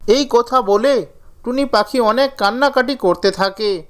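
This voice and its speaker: A man's voice: very high-pitched, a little slow. A man narrating a scripted children's story as the storyteller.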